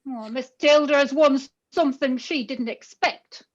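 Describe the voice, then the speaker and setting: A woman's voice: sits high, normal speed, dull audio, natural voice. A woman playing a giggly country lass. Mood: teasing. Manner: giggling, teasing.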